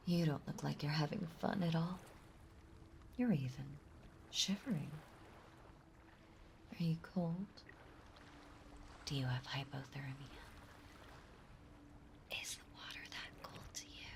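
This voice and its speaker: A woman playing a possessive, playful yet menacing mermaid in an intimate roleplay audio drama, speaking in a soft, breathy, halting and teasing way. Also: deep voice, slight background noise, exaggerated voice. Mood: concerned, probing. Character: possessive yandere mermaid, playful, sweetly menacing young female. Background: ocean ambience sound effects.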